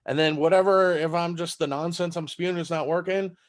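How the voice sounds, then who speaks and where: A man's voice: on the high side, steady tone, fairly quick. A man in his thirties from Texas, whose first language is German, talking in a rambling, casual way as a comedian guest on a podcast, swearing freely.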